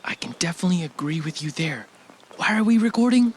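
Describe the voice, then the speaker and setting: A man's voice: a little high, animated, fast, breathy edge. A man playing an easygoing, reluctant driver in an amateur audio drama, speaking casually, sceptical but agreeable. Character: easygoing, reluctant man driving his podcasting partner. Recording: loud vehicle noise behind the voice.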